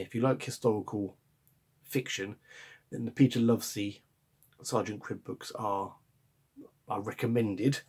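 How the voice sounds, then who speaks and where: A man in his forties with a croaky voice from a cold, chatting enthusiastically about books to camera at home, with frequent ums and restarts. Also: lowish voice, a little mellow. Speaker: book reviewer. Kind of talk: casual book chat to camera. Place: home room in front of bookshelves. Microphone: camera or webcam at close range.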